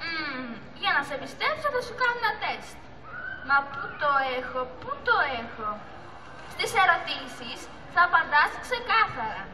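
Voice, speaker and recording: A woman's voice: very high-pitched, sing-song. A teenage girl playing a small, lonely, anxious female sea turtle in an amateur school puppet play, with theatrical pleading. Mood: suspicious. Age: young teenager. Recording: echoey hall recording with audience noise.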